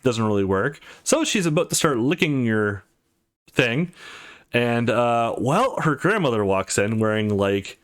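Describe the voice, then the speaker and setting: A man's voice: mid-range voice, very animated, measured pace. A man giving casual let's play commentary on a story game.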